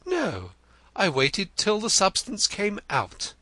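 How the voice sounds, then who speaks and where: A man's voice: high voice, wildly swooping pitch, slow, sharp tone, dry recording, voice pushed up, full character voice. A man voicing a vain, theatrical male poet in an audiobook, florid and gushing, stammering when pressed. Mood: effusive. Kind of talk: audiobook character reading.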